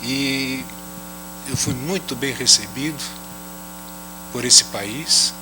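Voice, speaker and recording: A man's voice: mid-range voice, slow. A man speaking at an academic round table with a slight foreign accent. He talks unhurriedly and adds "né?" after many phrases. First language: not Portuguese. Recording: audible hum under the voice.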